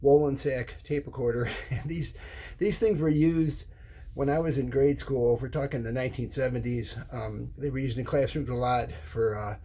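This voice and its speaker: A middle-aged or older American man giving an informal, unscripted explanation as a hobbyist presenter, halting and often repeating words or restarting phrases. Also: mid-range voice, steady tone, mellow.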